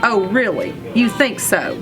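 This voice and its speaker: A woman voicing a proud, polite little green frog with a clear, twanging call in a children's storybook. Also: medium pitch, expressive, measured pace, music in the background, close to an everyday voice. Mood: polite, cheeky.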